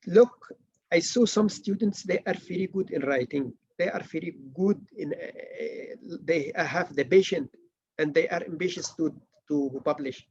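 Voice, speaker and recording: Halting man lecturing, gravelly. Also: a little high, a little mellow, highs cut off. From Malaysia. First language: not English. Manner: earnest, halting, many restarts and fillers. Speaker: workshop lecturer. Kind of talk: academic writing workshop lecture.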